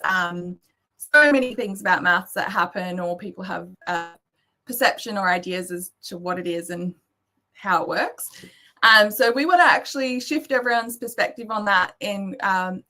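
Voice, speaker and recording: A woman's voice: medium pitch, pushed and bright. An Australian woman giving an earnest slide presentation, explaining step by step with frequent ums. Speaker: guest presenter. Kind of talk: slide presentation to an online summit.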